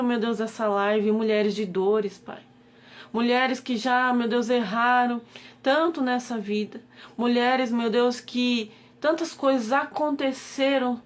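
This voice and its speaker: Brazilian Portuguese woman, slightly high voice, pleading devotional prayer leader who keeps invoking God. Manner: pleading, repeated invocations of God. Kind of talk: devotional live-stream prayer.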